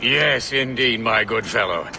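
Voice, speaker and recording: An actor playing a genial colonial gentleman in a period video game, wordy and genteel with hesitant phrasing. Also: mid-range voice, unhurried, slight background noise. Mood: grateful.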